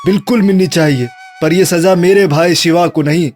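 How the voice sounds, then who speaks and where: A man's voice: on the high side, normal speed, a little mellow, soft background music, close to an everyday voice. A man voicing a righteous, protective young brother, earnest and firm, in a cartoon moral story. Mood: resolute.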